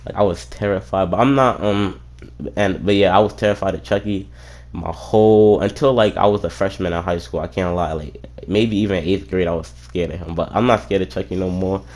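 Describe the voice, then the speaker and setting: Deep-voiced young man telling a rambling story. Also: fairly even intonation, fairly quick, darkish tone. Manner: rambling, swears freely, frequent "what you call it" fillers. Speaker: streamer. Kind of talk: casual storytelling.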